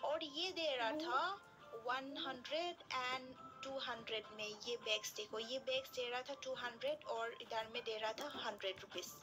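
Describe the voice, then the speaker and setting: A woman's voice: a little high, moderate pace. A young woman, chatting casually through a shopping vlog and praising cheap prices over and over. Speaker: shopping vlogger. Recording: voice-over over loud background music.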